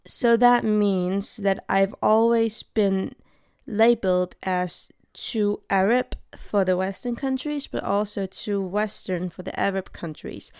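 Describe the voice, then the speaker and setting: A woman's voice: medium pitch, slow pace, a little mellow, clear, resonant tone, no echo, clean studio sound. A young woman from Denmark hosting her own episode, talking in an earnest, heartfelt way and searching for her words. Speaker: podcast host. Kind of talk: personal monologue.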